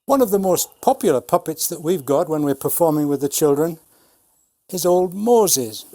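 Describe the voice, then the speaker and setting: A man in his seventies, a puppeteer, explaining and showing his craft in a measured way with dry humour. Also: on the high side, expressive, mellow, dry recording. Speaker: puppeteer, Punch and Judy showman. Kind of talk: informal talk demonstrating puppets.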